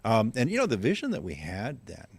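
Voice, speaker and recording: A man in his sixties with a very low voice, speaking as a guest in a radio studio. He explains things in a calm, measured way, setting out his points one by one. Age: 60s. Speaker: guest, food bank chief executive. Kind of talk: radio interview answers.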